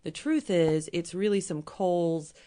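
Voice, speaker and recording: Hoarse woman, a bit low. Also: animated, unhurried, mellow. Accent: Midwestern American. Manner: earnest, explains with everyday examples. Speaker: company president, guest. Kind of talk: casual interview talk.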